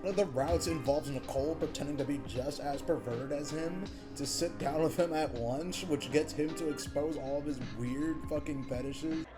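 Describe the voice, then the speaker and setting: A man's voice: a little high, normal speed, hardly any pauses. A man giving casual, informal commentary on a video game as a reviewer. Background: music.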